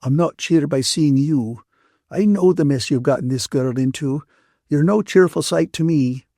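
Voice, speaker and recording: Man voicing a bitter, scolding woman, pitched high. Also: normal speed, warm tone, no room sound, studio quality. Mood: indignant, scornful. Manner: accusing, emphatic, aggrieved. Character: bitter, scolding, tearful woman, indignant and wounded.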